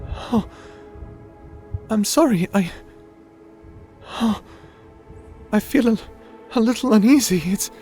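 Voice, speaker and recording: Man acting a high-voiced, shy, nerdy young wizard. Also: heavily acted. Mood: uneasy, apologetic. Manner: stammering, flustered, self-doubting. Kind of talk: scripted audio roleplay acting.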